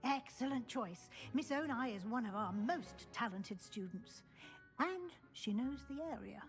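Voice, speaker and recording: Shrewd brisk lady professor in a fantasy game, highish voice, formal and in charge, soft music behind. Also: expressive. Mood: brisk, businesslike. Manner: formal, crisp, authoritative. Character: brisk, shrewd female senior professor at wizard school. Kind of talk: scripted video game acting.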